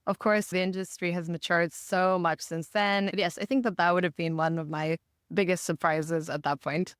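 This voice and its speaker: Clear-voiced woman, fairly low, measured. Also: steady tone, no echo, studio quality. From Botswana. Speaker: podcast guest, marketing director. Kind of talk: reflective interview answers.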